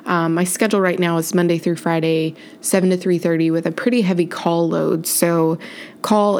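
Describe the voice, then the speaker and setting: Stuffy woman, rambling podcast chat, slightly low voice, congested. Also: darkish tone. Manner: rambling, chatty, self-correcting. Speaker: podcast host. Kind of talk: casual solo life update.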